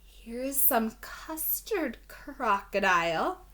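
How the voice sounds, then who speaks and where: A woman voicing anxious storybook cooks who serve a crocodile, announcing their lines brightly. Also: fairly high pitch, very animated, extremely slow, exaggerated voice. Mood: eager to please.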